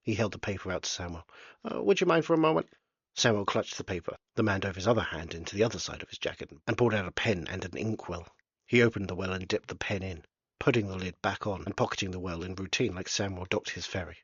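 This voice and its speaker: A man's voice: low-pitched, steady tone, fast, fairly bright tone, breathy edge, dry recording, dull audio. A man reading aloud from his own novel in a plain, unpolished way. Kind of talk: storybook narration.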